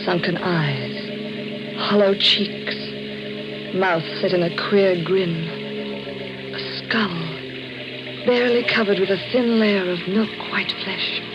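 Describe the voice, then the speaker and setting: Actress as refined, nervy radio-drama heroine. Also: female voice, medium pitch, slow pace, pauses often, natural voice. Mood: haunted, suspenseful. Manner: dramatic, refined, haughty when rattled. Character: glamorous married woman, proud, frightened, telling her eerie tale. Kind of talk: 1940s radio drama acting and narration. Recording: muffled vintage radio recording, music behind.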